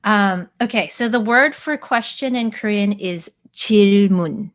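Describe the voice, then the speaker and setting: An American woman co-hosting a podcast in friendly casual chat, laughing often and filling pauses with "like" and "um". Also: medium pitch. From United States. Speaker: podcast co-host. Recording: dull dry lo-fi mic.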